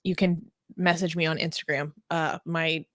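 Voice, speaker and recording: A woman's voice: low voice, little pitch movement, normal speed, fairly bright tone, dry recording, studio quality. A woman co-hosting a live news talk show, chatting casually, reading viewer comments and joking bluntly. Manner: playful, blunt, laughs easily.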